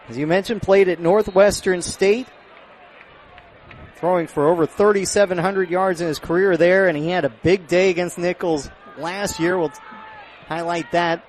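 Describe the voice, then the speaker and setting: American guy doing football radio play by play, highish voice, projecting, noisy stadium behind him. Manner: projected, excited on big plays. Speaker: play-by-play announcer. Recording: live outdoor stadium broadcast, background noise.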